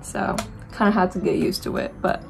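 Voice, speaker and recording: A woman's voice: on the low side, steady tone, brisk, vocal fry, slight background noise, poor audio. A woman in her twenties from Romania with a Romanian accent, chatting casually and reflectively to camera while doing her makeup, with many fillers and restarts. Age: 20s. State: dry throat. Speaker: beauty vlogger.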